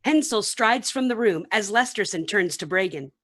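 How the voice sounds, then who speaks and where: A woman's voice: mid-range voice, lively intonation, moderate pace, a bit bright. A woman giving scripted narration for a radio play, reading out the action between scenes.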